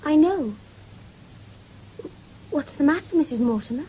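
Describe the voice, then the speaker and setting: A woman's voice: high voice, expressive, a little slow, warm tone, slight background noise, low-quality recording. An actress in a 1970s radio drama playing a meek, dutiful young country woman, hesitant and deferential. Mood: shy, hesitant.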